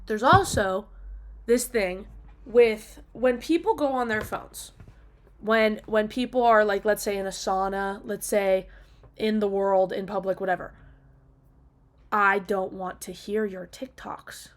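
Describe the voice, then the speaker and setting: A woman's voice: medium pitch, a little slow. A woman talking casually and off the cuff in an opinionated, emphatic rant, exasperated and casually sweary. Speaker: video diarist.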